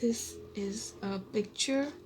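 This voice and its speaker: A woman's voice: medium pitch, takes it slowly, a little hiss or hum. A woman casually showing and reading from a book as a vlogger, in an amateur recording. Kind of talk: casual book flip-through and read-aloud.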